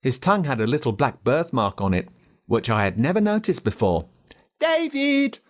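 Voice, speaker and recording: An Australian man narrating a children's story in a dramatic, expressive way. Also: mid-range voice, brisk. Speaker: audiobook narrator.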